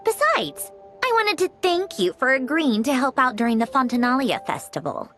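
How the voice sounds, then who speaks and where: A woman's voice: high voice, sing-song, normal speed, a bit bright, soft background music. A woman playing a poised, courteous young noblewoman with a teasing edge in a video game, speaking formally and politely. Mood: gracious, composed.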